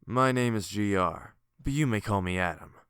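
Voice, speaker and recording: Guy doing a stern cloaked mayor for an audiobook, low smooth voice, measured and bossy, dry sound. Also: fairly bright tone, dry recording, lightly acted. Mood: formal, measured. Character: cloaked, masked town mayor, stern and somewhat hostile tank. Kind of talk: audiobook character acting.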